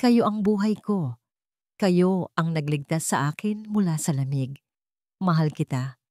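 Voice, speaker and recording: A woman's voice: fairly low pitch, unhurried, a little mellow, no room sound, clean studio sound, close to an everyday voice. A woman voicing a wealthy, once-cold woman in a Filipino drama story, speaking with trembling emotion. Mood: moved. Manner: emotional, shaky with feeling. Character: wealthy woman, former ice queen, warm.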